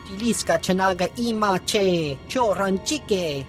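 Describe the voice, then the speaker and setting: A woman's voice: medium pitch, unhurried, natural voice. A woman voicing an alien gangster who speaks an invented alien tongue in a video game. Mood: taunting alien chatter. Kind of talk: video game creature dialogue. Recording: faint music under the voice.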